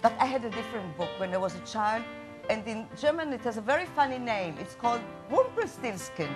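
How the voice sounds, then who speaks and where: A woman's voice: fairly high pitch, a bit bright. A woman from Israel, speaking as a tour guide in an apologetic, chatty, confiding way. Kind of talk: stage monologue.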